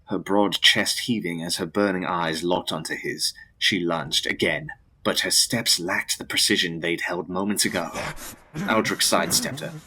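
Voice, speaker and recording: A man narrates gothic fiction in a dramatic, scripted style. His voice is low and husky, with a bright, forceful edge that keeps the words tense. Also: low-quality recording. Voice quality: deep, husky.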